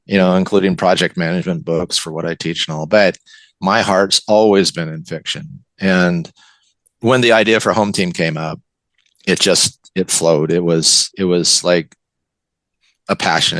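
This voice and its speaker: A man's voice: deep voice, steady tone, normal speed, fairly bright tone, dry recording. An older American man, a writer from the West Coast, giving enthusiastic, reflective answers as an interview guest. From West Coast of the United States. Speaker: guest, novelist and college teacher.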